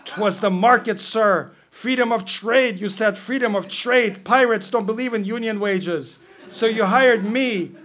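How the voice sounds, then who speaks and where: A man voicing a pedantic, wordy male pirate boatswain with prim quick retorts. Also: sits high, a bit bright, voice pushed up.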